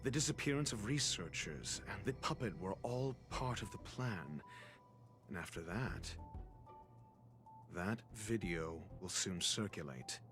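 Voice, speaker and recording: An actor playing a cool, calculating investigator in a video game, speaking in a measured, formal, sardonic and theatrical way. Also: male voice, on the low side, animated, a bit bright, soft background music. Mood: grave, ominous. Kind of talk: dramatic video game voice acting.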